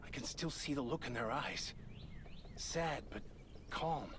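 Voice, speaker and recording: An actor playing an angry, grieving survivor guard in a video game, bitter but resolute. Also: male voice, mid-range voice, measured pace, fairly bright tone, a little hiss or hum. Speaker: game character. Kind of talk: dramatic video game dialogue.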